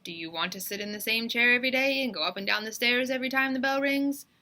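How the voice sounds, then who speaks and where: A woman's voice: a little high, quick pace, bright, forceful tone, pitched above the performer's own voice. A woman voicing an officious man in a storybook, bossy and interrogating. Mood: listing rules. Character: officious mustached official with attaché case and rules.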